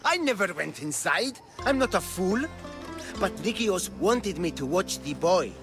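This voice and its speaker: An actor playing a guilt-ridden, gentle old Greek man in a video game cutscene, grave and halting. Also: sits high, very animated, heavily acted. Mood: anxious, haunted. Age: older. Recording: loud music behind.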